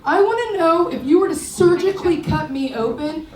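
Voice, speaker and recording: A young woman in her twenties doing a stand-up set on a comedy club stage into a handheld mic, loud and brash, shouting her punchlines. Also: very high voice, lively intonation, normal speed. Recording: roomy, distant, loud crowd and noise.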